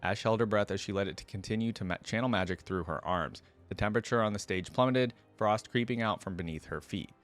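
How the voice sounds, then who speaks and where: A man's voice: on the low side, quick pace, few pauses, faint music behind. A man hosting a reaction video, reading story lore aloud and breaking in with casual, conversational commentary.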